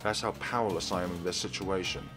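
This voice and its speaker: A man's voice: low-pitched, fairly even intonation, fast, over music. A man narrating a visual novel aloud as a let's play host. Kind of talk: reading visual novel aloud, narrating and doing character voices.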